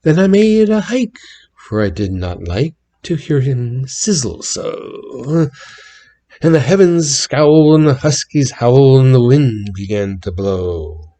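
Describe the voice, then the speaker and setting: A man's voice: medium pitch, animated, slow pace, mellow. A man giving a theatrical dramatic reading of a narrative poem, swelling and dropping in intensity as he tells it. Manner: theatrical storytelling with big swells and drops in intensity. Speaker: reader narrating a ballad.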